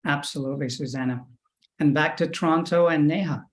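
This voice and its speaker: A man's voice: on the high side, fairly quick. A man hosting and moderating a panel discussion over a video call, politely introducing each guest. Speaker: panel host.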